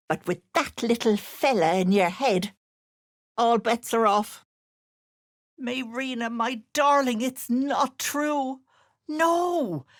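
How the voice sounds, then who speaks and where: Old hag, game villain, sugary and menacing, animated swooping pitch, unhurried, dry close sound. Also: female voice, no echo. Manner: sugary endearments with sly, mocking menace. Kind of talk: video game character acting.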